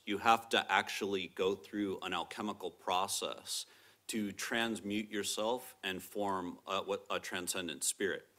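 A middle-aged man speaking on an academic panel in a lecture theatre, with a strong, projecting voice and a measured, explanatory way of talking. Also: low voice, sharp tone. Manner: explanatory, measured, with frequent "kind of" qualifiers. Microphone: table microphone.

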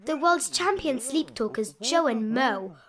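A woman reading a rhyming children's picture book aloud in an expressive sing-song manner. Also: sits high, measured pace, crowd noise. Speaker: storybook reader.

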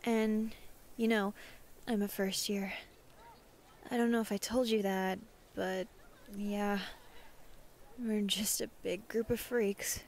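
A chatty college-age cybergoth girl, played by a woman, talking slowly at a medium pitch in an ASMR roleplay. Clear noisy ambience sits behind her. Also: level tone. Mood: friendly, chatty. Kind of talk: ASMR roleplay, casual chat.

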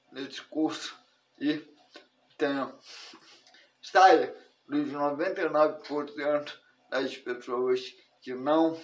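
A Brazilian man in his thirties teaching an online lesson to camera, speaking haltingly in short phrases. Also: on the high side, fairly bright tone. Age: 30s. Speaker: personal finance instructor. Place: indoors in a furnished room at home.

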